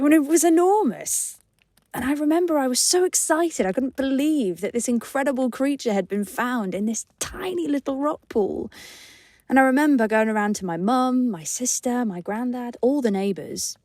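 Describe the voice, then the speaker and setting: A woman's voice: fairly high pitch, expressive, normal speed, a little mellow, no echo. A woman sharing personal memories in an earnest, conversational, emphatic way, reflecting as she speaks.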